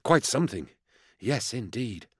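A man's voice: medium pitch, very animated, unhurried, slightly rough, no echo, voice pushed up. A man voicing a weary, sardonic veteran inquisitor in an audiobook, with a dry, curt, muttering manner. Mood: guarded, flat. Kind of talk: audiobook character dialogue.

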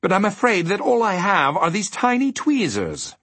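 A man playing an amiable, excessively courteous, faceless gentleman in a children's storybook. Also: high voice, wildly swooping pitch, normal speed, breathy edge, no echo, pitched above the performer's own voice, exaggerated voice. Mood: polite, cheerful. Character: elegant faceless gentleman demon, amiable and over-polite.

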